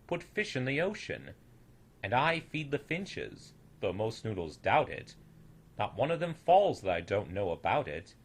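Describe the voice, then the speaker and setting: A man's voice: medium pitch, husky, heavily acted. A man voicing a big, old, wise creator in a storybook, tender, solemn and fatherly.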